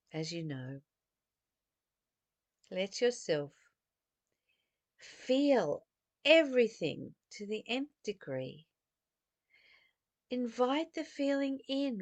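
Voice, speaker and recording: Female meditative teacher, very unhurried, close dry sound, affectionate direct address, short stressed phrases. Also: mid-range voice, dry recording. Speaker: spiritual teacher. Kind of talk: spiritual teaching talk, intimate and meditative.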